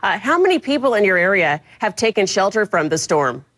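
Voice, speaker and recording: A woman's voice: medium pitch, very animated, a little fast, a bit bright, no echo. A woman, a news anchor conducting a live interview.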